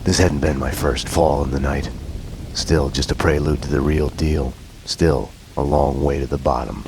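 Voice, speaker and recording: A very deep, brooding noir detective. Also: male voice, moderate pace, noisy background. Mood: fatalistic. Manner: terse, fatalistic, deadpan. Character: brooding, world-weary middle-aged male detective narrating his own downfall. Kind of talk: noir monologue and game dialogue. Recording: dramatic music under the voice.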